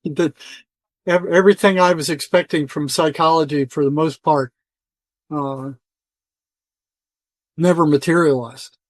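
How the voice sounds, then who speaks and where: An older man answering as an interview guest in a conversational, halting way, often repeating words and restarting. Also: fairly high pitch, steady tone, dry recording. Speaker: interview guest, retired psychotherapist.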